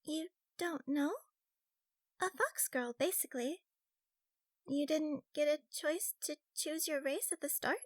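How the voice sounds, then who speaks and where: A young fox girl from a fantasy game story, acted out by a male narrator. The voice sits very high and sounds cutesy and nervous. She stammers shyly, yet has a feisty streak. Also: measured pace. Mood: curious. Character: shy, feisty young fox girl gamer, easily flustered.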